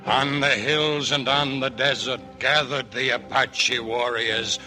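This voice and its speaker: A man's voice: medium pitch, steady tone, takes it slowly, over loud music, natural voice. A man playing a solemn Apache warrior who narrates a Western radio drama in an incantatory way. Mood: solemn, ominous.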